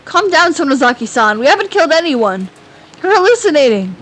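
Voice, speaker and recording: A woman voicing frightened clinic staff who plead urgently for calm. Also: high-pitched. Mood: panicked pleading. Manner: pleading, rushed.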